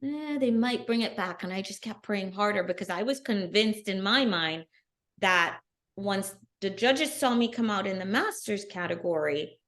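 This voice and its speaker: Woman, middle-aged, podcast guest, earnest, animated storytelling, casual interview. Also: mid-range voice, normal speed. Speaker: guest, bikini athlete.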